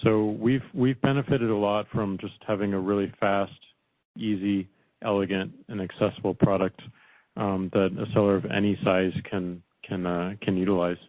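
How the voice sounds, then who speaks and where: A man with a low voice answers like an executive on a conference call. His phrasing is halting, with repeated words and pauses to think, and the sound is dry and thin, as through a narrow phone line. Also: flat delivery, dry recording. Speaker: company executive answering analysts. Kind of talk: earnings call remarks, unscripted.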